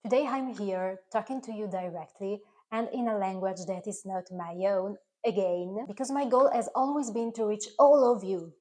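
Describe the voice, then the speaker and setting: A woman from Italy whose first language is Italian, speaking English with an Italian accent straight to camera as the creator of a food channel, in an earnest, careful way with slightly halting phrasing. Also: mid-range voice, dark tone.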